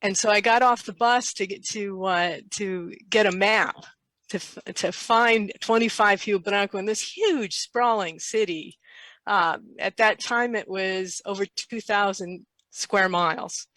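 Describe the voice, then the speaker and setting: An older woman, a guest, telling a lively personal anecdote and quoting herself along the way. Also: medium pitch, measured pace, no echo. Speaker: interview guest. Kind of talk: casual storytelling.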